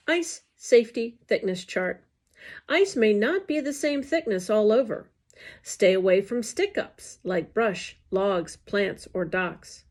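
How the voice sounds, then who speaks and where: Female narrator for read-along material: slow delivery, very animated pitch movement, scripted and carefully enunciated. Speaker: staff narrator reading a newsletter aloud.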